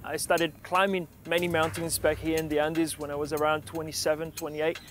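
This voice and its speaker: Thirty-something man, mountaineer, slightly high voice. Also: a little fast, a little hiss or hum. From born in Argentina, raised in Brazil. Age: 30s. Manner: relaxed, with many fillers and restarts. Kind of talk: documentary interview and voice-over.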